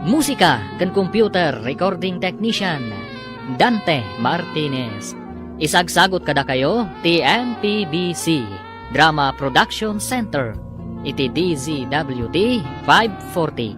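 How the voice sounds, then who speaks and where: A man's voice: a little high, lively intonation, slow. A man narrating and announcing a radio drama in a scripted broadcast style. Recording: radio broadcast with music underneath. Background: music.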